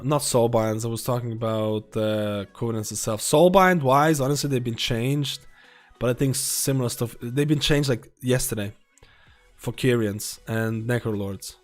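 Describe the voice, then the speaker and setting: This is a male streamer at a mid-range pitch, reading a guide aloud and breaking off into relaxed asides. His voice is wearing thin, and he stumbles and restarts words as he goes. Soft music plays faintly behind him. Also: normal speed. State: losing his voice. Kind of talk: live-stream chat, reading a guide and viewer questions aloud.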